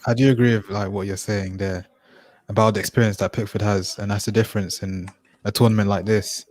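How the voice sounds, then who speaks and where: A man's voice: fairly low pitch, level tone, fairly quick, darkish tone. A young man, podcast co-host, steering a casual football chat and asking the others questions. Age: young adult. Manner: steers the discussion, asks questions.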